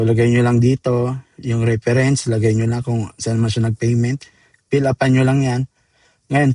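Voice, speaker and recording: A Filipino man presenting a software tutorial step by step in an informal, chatty way, mixing in English terms. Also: mid-range voice, dry recording. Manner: informal, addresses viewers as guys, code-switches into English terms. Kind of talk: casual screen-demo tutorial, step-by-step.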